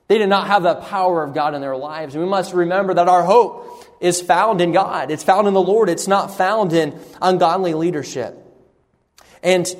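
A man preaching an earnest sermon, often repeating words and pausing with fillers. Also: high voice, big-room sound. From raised partly in Sri Lanka on the mission field.

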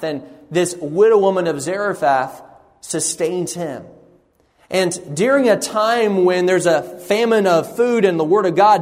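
High-voiced preacher in a reverberant hall. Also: male voice. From raised partly in Sri Lanka on the mission field. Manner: earnest preaching with repeated words and fillers. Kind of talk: sermon.

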